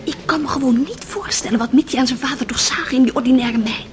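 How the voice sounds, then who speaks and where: A woman's voice: high-pitched, fast talker, a crowd in the background, natural voice. A woman acting in a radio drama as an indignant, snippy, gossiping respectable spectator. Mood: scandalised. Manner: scandalised, snippy.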